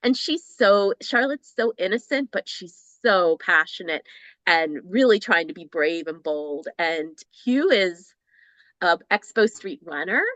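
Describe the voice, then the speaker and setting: A woman's voice: lowish voice, expressive, measured pace, a bit bright, no room sound, dull audio. A woman in her fifties, a romance author talking casually and enthusiastically in an interview, laughing now and then and restarting her sentences.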